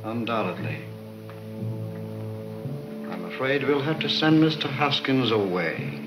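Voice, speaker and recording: An actor playing a criminal mastermind in a 1940s serial, speaking with measured, polite formality and cool menace. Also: male voice, medium pitch, very animated, lo-fi sound. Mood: coolly menacing. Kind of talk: 1940s movie serial villain acting. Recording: old film soundtrack, music behind.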